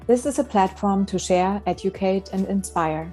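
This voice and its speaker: A woman's voice: mid-range voice, soft background music. Woman podcast host. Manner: measured, reads guest intro, then soft affirming mm-hmms. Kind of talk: scripted introduction and interview questions.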